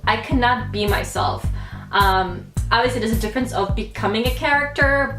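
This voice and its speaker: A woman's voice: medium pitch, echoey room. A young woman, chatting casually to camera as a YouTuber, playful and full of fillers. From United States.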